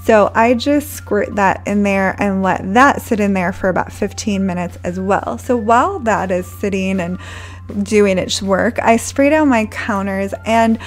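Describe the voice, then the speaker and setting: A woman's voice: mid-range voice, a little slow. A woman in her thirties narrating her cleaning routine in a friendly, chatty voice-over, recommending products. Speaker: home cleaning vlogger.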